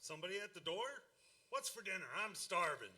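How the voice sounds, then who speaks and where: A man's voice: high voice, lively intonation, a little fast, pushed and bright, exaggerated voice. A man playing a blustery, impatient family dad in a radio play, exclamatory and stammering when flustered. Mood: gruff.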